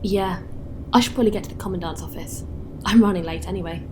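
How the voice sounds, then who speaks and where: A woman's voice: on the low side, fast talker, background noise, close to an everyday voice. A woman playing a friendly, practical spaceship pilot in a naturalistic sci-fi audio drama, speaking casually and helpfully as she explains things. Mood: guarded, serious.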